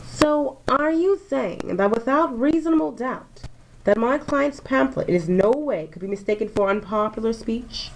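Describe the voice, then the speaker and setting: An earnest courtroom lawyer from an amateur puppet show, acted by a young woman. The voice is high and swings in pitch as it presses its case, over audible hiss and clicks. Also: animated, measured pace. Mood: earnest, challenging. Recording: noisy amateur recording with clicks.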